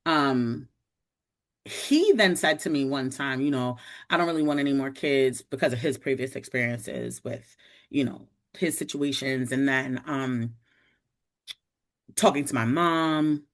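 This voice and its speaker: A woman's voice: rumbling low, measured pace. A woman in her forties, a podcast guest, telling a personal story in a casual chat and acting out what people said. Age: 40s. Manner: animated, acts out quoted voices.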